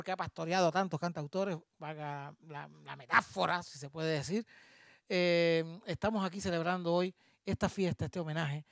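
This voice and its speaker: A man's voice: high voice, no echo. Man, Cuban accent, concert presenter, improvised rhyming verse, playful and declamatory, dry close sound. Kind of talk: spoken introduction turning into improvised rhyming verse. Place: small music bar venue.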